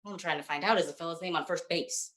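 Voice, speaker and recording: A woman's voice: lowish voice, quick pace, fairly bright tone, lightly acted. Woman playing a puzzled radio comedy sidekick. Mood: puzzled, exasperated. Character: confused male comic sidekick, questioning, increasingly exasperated. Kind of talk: 1940s radio comedy routine.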